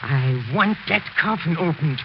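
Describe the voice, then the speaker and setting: Brief: haunted, unhinged widower, intense delivery, old radio play with heavy hiss and dulled sound. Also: male voice, fairly high pitch, lively intonation, unhurried, creaky. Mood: frantic, obsessed. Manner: intense, theatrical. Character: obsessed grieving husband, desperate, morbid, unhinged. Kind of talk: 1940s radio melodrama acting. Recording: very noisy, muffled 1940s radio recording.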